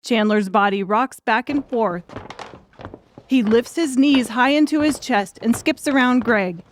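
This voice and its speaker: Female audiobook narrator, scripted fiction reading, moderately high register. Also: unhurried, a little hiss or hum.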